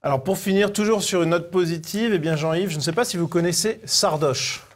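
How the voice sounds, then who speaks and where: A man co-hosting a conversational media review show, presenting in halting phrases. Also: high-pitched. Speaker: co-host presenting media clips.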